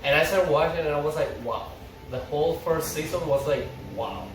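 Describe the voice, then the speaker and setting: A man's voice: a little high, a little fast. Young male fan, casual and rambling, enthusiastic; distant mic, echoey room. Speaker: fan discussing a TV series. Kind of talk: casual chat. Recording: roomy, distant mic with loud background noise.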